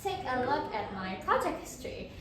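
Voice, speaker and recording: A woman's voice: sits high, sing-song, moderate pace. A teenage girl from Indonesia presenting her portfolio as a student, in a chatty, upbeat way with fillers and restarts. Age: teens. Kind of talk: casual self-presentation. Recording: very echoey room, from far off, crowd behind.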